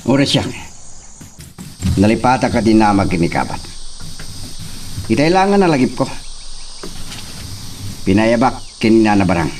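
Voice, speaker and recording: Actor as lively, haughty young Ilocano master. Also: male voice, lowish voice, measured pace, long pauses, a little hiss or hum. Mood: questioning, authoritative. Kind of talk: Ilocano radio-style drama acting.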